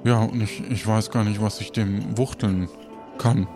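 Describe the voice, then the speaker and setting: A man's voice: fairly low pitch, steady tone, over music. A man playing a flustered, stammering former innkeeper. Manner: halting, stammering. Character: eager, flustered male former tavern keeper. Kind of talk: improvised audio play acting.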